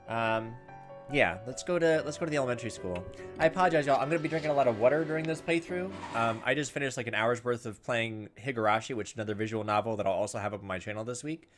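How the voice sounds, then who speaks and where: A man's voice: medium pitch, quick pace, fairly bright tone, soft background music. A man doing gameplay commentary, casually thinking aloud and reading visual novel text as he goes. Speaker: video game playthrough host.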